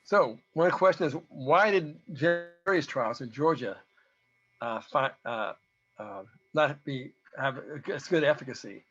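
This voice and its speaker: A man with a hoarse voice gives an informal, halting technical presentation, explaining data as a researcher. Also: medium pitch, fairly bright tone, faint music behind. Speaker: presenter, researcher giving a talk to beekeepers. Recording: dull, lo-fi audio.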